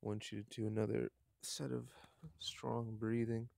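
A man leading a guided meditation outdoors, speaking softly and haltingly, with unhurried instructions and long pauses. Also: lowish voice, little pitch movement, gravelly, dry recording, clean studio sound.

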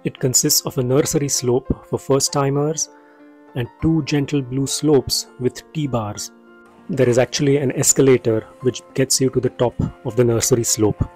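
A man narrates a ski trip guide in a steady, informative voice-over. His pitch sits in the middle range. Light music plays beneath him. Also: normal speed. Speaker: travel vlogger narrating.

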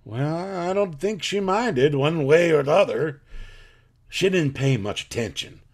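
A man playing a plain-spoken rural farmer in a live audio drama, telling his story in a folksy, halting way. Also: fairly high pitch, expressive, darkish tone. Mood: unsettled.

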